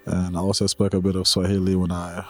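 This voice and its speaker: A man's voice: low voice, monotone, fast. A man giving casual, tentative interview answers, hedging as he goes. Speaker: refugee interviewee.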